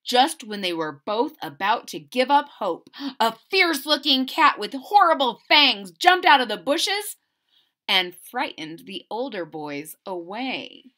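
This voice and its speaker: A woman reading a picture book aloud expressively, pausing to explain and ask young listeners simple questions. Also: fairly high pitch. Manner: animated, explanatory, addressing children with questions. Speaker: reader of a children's picture book.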